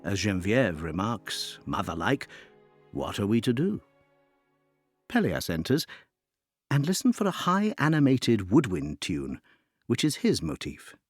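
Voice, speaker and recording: A man reading scripted narration that introduces an opera, in an expressive, literary storytelling manner. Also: on the low side, unhurried, long pauses, slightly rough, faint music behind.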